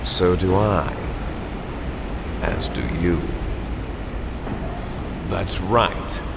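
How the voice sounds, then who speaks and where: An actor playing an embittered, grandiose clone soldier villain in a video game, delivering menacing, theatrical monologues. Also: male voice, sits low, very slow, gravelly, very noisy, full character voice. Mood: intense, lecturing. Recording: echoey hall reverb, music underneath.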